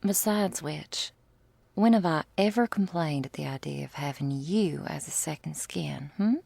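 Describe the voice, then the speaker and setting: A woman's voice: sits low, unhurried, darkish tone, no room sound, heavily acted. A woman playing a teasing, doting female vampire lover in an intimate ASMR roleplay, with whispery asides and quaint, affectionate wording. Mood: playful, affectionate. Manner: breathy asides, pet names, quaint turns of phrase.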